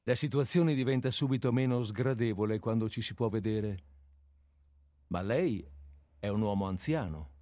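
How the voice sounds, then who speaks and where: A man playing a composed, courteous householder facing a night intruder in a radio play, measured and dryly polite. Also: fairly low pitch, mellow, no room sound, studio quality, close to an everyday voice. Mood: calm, dry.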